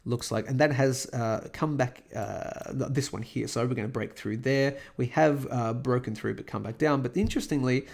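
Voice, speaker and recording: Host, male, hoarse and croaky from a cold, rambling with lots of fillers, gravelly edge, mid-pitched. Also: moderate pace, few pauses, a little mellow. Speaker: host of a crypto price analysis channel. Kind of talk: casual screen-recorded market commentary.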